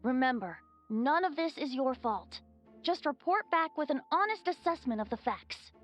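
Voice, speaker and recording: A woman playing a calm, principled advisor in a video game, measured, formal and reassuring. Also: high-pitched, soft background music.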